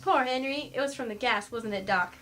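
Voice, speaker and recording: A brazen little lady crook, acted by a woman, talks in slangy, offhand phrases in a radio mystery. Her voice sits fairly high. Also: fairly quick, a bit bright, close to an everyday voice. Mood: feigned innocence. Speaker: amateur voice actor in an audio mystery. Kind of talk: scripted radio mystery acting.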